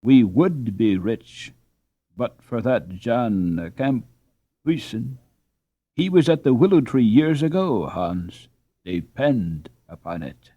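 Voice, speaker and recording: A male reader voicing a warm-hearted, weary peasant mother with drawn-out, sighing, old-fashioned speech. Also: medium pitch, very animated, slightly rough, no room sound, dull audio, full character voice.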